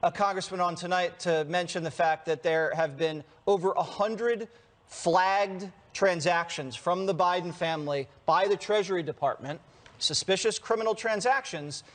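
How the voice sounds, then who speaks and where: A man's voice: high voice, moderate pace, fairly bright tone. An American man giving opinionated political commentary on a TV panel show, with a sardonic way of talking.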